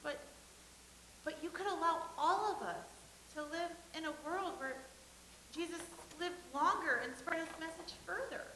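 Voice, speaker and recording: A woman playing a bright, pressing questioner. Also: very high voice, moderate pace, echoey room, slight background noise, low-quality recording. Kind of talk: staged play reading.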